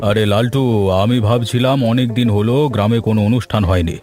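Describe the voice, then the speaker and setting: A man voicing a village headman in a cartoon, giving instructions briskly with music behind him. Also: lowish voice, steady tone, background noise, natural voice. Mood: commanding. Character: village headman, decisive older man in charge. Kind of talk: cartoon voice acting.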